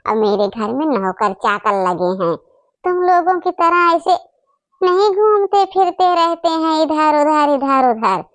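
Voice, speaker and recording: A woman's voice: sits high, expressive, unhurried, dry recording. A woman voicing a snobbish, boastful young married daughter in a cartoon, haughty and disdainful. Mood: haughty disgust.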